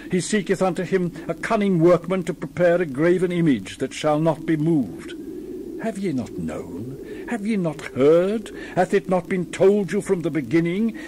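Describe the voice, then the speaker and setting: A man's voice: on the high side, noisy background. A man reading the Bible aloud in a dramatized style, with solemn, theatrical, emphatic declamation. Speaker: Bible reader.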